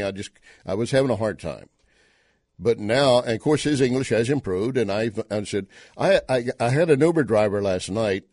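A man's voice: fairly low pitch, a little mellow, no room sound, studio quality. A Texan man hosting an opinion talk show, commenting indignantly with emphatic questions. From Texas.

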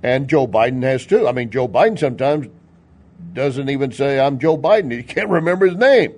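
A man from Texas with an American accent hosts a political talk radio show. His voice sits at a medium pitch. He speaks conversationally and folksily, tossing out rhetorical questions and joking asides. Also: lively intonation, normal speed, a little mellow. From Texas, United States.

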